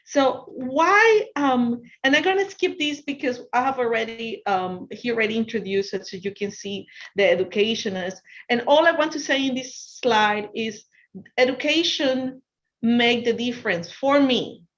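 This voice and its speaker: A woman with an Ecuadorian Spanish accent and a fairly high voice presents to students over a video call. A native Spanish speaker, she is encouraging and repeats phrases for emphasis. The sound is somewhat dull and lo-fi. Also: normal speed. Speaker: guest speaker, financial consultant.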